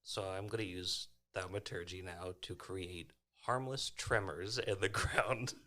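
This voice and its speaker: Deep, bright-edged male gamer. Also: fairly even intonation, measured pace. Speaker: tabletop game player. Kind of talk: casual improvised game chat.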